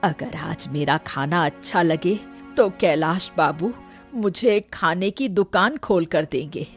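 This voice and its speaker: Lady doing cartoon village grandma, old and crafty but caring, low slow voice, some music behind. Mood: assured, bargaining. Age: older. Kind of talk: scripted cartoon story acting.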